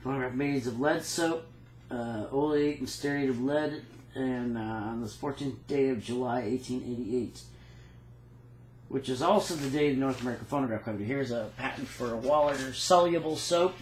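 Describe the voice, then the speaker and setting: A man casually showing his notes and reading out dates and patent text, with many pauses. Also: mid-range voice, echoey room, a little hiss or hum, poor audio. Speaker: phonograph cylinder maker. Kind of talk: casual show-and-tell walkthrough.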